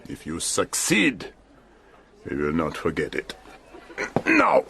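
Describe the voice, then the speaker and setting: A cruel middle-aged German officer who rules every room he enters. He drawls his words slowly and swings his pitch widely, from silky threats to gloating highs. A radio-drama villain, mocking and commanding. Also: wildly swooping pitch, vocal fry, bright, forceful tone, full character voice. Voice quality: mocking, commanding, slow and deliberate.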